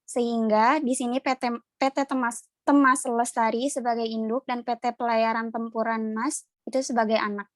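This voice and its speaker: Young female student presenter, even intonation, dry close sound, explanatory. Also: a little high, normal speed, no room sound. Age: young adult.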